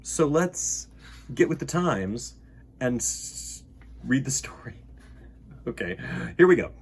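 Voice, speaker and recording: A man's voice: mid-range voice, sing-song, slow pace, slightly rough. A man hosting a children's storytime, reading picture books aloud with playful, theatrical delivery and rambling asides. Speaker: storytime host.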